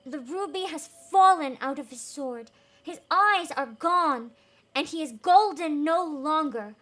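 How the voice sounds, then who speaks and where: A woman's voice: high voice, lively intonation, unhurried, fairly bright tone. A woman voicing a vain, self-important male town mayor in a storybook, with pompous emphasis. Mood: pompous dismay.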